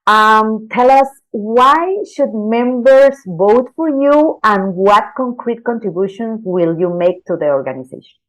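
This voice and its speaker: A woman's voice: a little high, expressive, a little slow, a bit bright, low-quality recording. A woman hosting an interview, asking her guest questions in a friendly, formal manner. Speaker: interviewer, host.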